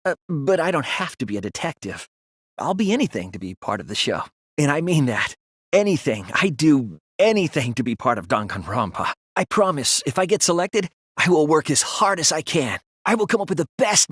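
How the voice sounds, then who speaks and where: A man voicing an earnest, sensitive young student detective in an anime video game, with intense, emotional, sometimes halting delivery. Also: high-pitched, fairly bright tone, no echo, clean studio sound, exaggerated voice. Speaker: voice actor.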